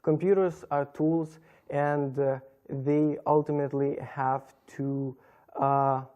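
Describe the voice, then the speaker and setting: A young man with a Lithuanian accent, answering interview questions as a guest in a measured, explanatory way. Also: fairly high pitch, level tone, dull audio. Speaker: computer business guest. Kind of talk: interview talk. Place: TV studio in a hotel.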